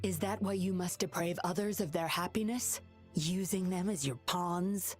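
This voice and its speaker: Low-voiced, cool, resolute vigilante. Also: female voice, normal speed, darkish tone, slightly rough, faint music behind. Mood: defiant, calm. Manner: composed, firm, solemn. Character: mysterious, resolute former patroller woman, cool and secretive. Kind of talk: dramatic video game voice acting.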